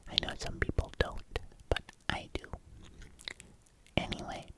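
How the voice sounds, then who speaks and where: A young adult ASMR creator whispering a rambling voiceover into a binaural mic, congested, with clicky mouth sounds and many fillers. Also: unhurried. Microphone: binaural microphone.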